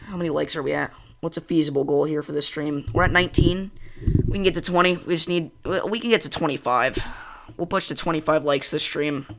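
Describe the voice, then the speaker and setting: A young man from central Illinois hosting a casual weather livestream, chatting excitedly and wandering off on tangents while reading chat. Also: on the high side. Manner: chatty, goes on tangents, talks fast and excitedly.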